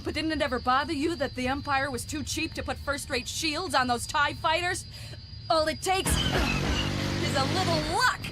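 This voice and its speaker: A woman's voice: high voice, normal speed, noisy background, natural voice. An actress in an audio drama playing a feisty, sarcastic rebel woman pilot. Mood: cocky. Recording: loud music behind the voice.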